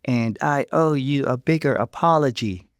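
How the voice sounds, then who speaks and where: A man voicing an overwhelmed mother, a stiff and contrite sister, in an earnest, measured way, for a story. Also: mid-range voice, no room sound, clean studio sound, voice pushed up, lightly acted. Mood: earnest, apologetic.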